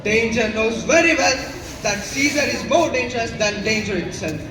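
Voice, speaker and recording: A high-pitched young male actor's proud Roman ruler declaims boastfully in a stage play. The voice comes from far off in an echoing, noisy space. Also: lively intonation, moderate pace. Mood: commanding, defiant. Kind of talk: school stage play acting. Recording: echoey noisy hall from far off.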